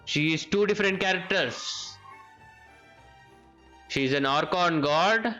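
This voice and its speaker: A man's voice: high voice, takes it slowly, pushed and bright, lo-fi sound. A man giving casual live game commentary, thinking aloud and often repeating his phrases. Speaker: game streamer. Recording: game music behind the voice. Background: video game music.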